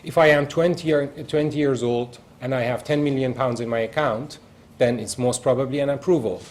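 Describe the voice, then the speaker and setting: A man's voice: mid-range voice, steady tone, fairly quick, darkish tone. Male lecturer explaining step by step. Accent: Iranian. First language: Persian. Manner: explanatory, frequent "uh" fillers, walks through examples step by step. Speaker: university lecturer. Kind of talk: recorded university lecture.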